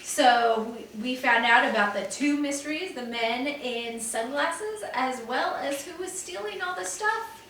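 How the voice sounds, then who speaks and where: A woman's voice: high voice, unhurried, few pauses, fairly bright tone. A woman who teaches second grade, reading a chapter book aloud and talking encouragingly to her class. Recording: echoey room, mic at a distance, faint hiss.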